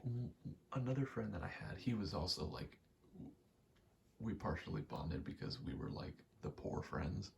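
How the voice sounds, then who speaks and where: A man in his twenties doing a casual ASMR gaming ramble, soft-spoken and often whispering, in a halting, meandering way. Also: low-pitched, animated, vocal fry. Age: 20s. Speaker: ASMR gaming video host. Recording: faint noise, game button clicks. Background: controller clicking, faint background noise.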